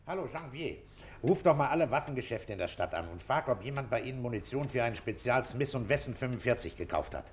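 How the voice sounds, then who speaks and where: An actor playing a gruff, unhurried middle-aged police commissioner in a German radio drama, speaking in terse questions. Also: male voice, mid-range voice, expressive, a little hiss or hum, lightly acted. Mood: brisk, commanding.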